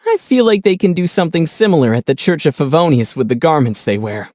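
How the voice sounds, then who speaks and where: A man voicing a calm young adventurer in a video game, easygoing and measured, with a gently wry, teasing manner. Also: a little high, dry recording, studio quality, natural voice. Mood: casual. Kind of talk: video game character dialogue.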